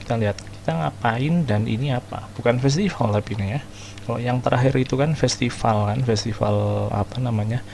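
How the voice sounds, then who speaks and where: A creaky-voiced Indonesian man chats through a game playthrough in a slangy, casual way. He mixes in English words, and there is faint noise behind him. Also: mid-range voice, fast talker, a little mellow. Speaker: gaming video host.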